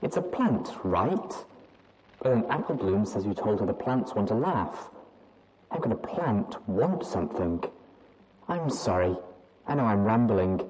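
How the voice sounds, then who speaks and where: A woman's voice: a bass voice, sing-song, measured pace, noisy background, heavily acted. A woman reading aloud as an anxious young adult mare pony who rambles hesitantly and doubts herself. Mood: confiding. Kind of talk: audiobook reading of fan fiction.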